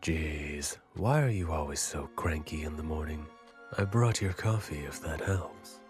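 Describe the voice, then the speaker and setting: Man as a very deep, laid-back assistant. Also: expressive, a little slow, soft background music. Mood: dry, unbothered. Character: laid-back, mock-innocent male assistant, calm and sarcastic.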